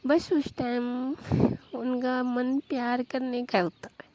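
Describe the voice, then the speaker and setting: Woman, mellow tone, narrow even intonation, confessional romantic storytelling, drawn-out repetitive phrasing, faint background noise. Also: sits high. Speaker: storyteller in a staged intimate phone conversation.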